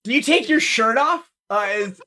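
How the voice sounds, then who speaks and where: Lively bright-voiced man running a game. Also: extremely high pitch, moderate pace. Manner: animated, laughs often, many fillers. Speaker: game master. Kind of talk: narrating a tabletop game.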